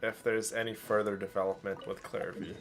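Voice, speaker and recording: A woman's voice: extremely low pitch, level tone, brisk. A woman streaming a game, casually musing aloud and repeating the dialogue as she reacts. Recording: game music behind the voice.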